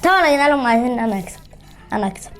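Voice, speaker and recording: Woman, Egyptian Arabic, high pitch, quick talker, boastful teasing game banter. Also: expressive, slight background noise. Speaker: gaming channel host. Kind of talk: casual bantering gameplay commentary.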